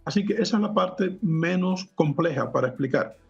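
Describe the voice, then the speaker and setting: High-pitched, creaky-voiced male tech expert. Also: expressive, normal speed. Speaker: technology expert guest. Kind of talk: explanatory interview commentary. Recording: muffled lo-fi audio, music behind.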